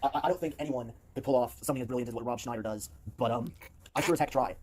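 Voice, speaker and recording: Casual streamer, male, put-on British accent, rambly, very fast delivery at mid pitch. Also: a little mellow. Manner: rambling, many fillers and restarts. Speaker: streamer answering viewer questions. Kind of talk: casual stream talk in character.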